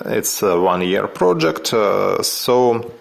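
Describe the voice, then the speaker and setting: A middle-aged man with a Ukrainian accent gives an unscripted personal account in English as a non-native speaker, haltingly, with many filled pauses. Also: lowish voice, lively intonation, vocal fry. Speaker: media researcher telling his story.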